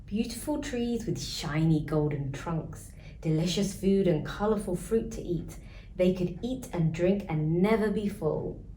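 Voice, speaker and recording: A woman's voice: fairly low pitch, dark tone. A woman storyteller narrating a children's storybook. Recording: roomy echo, faint background noise.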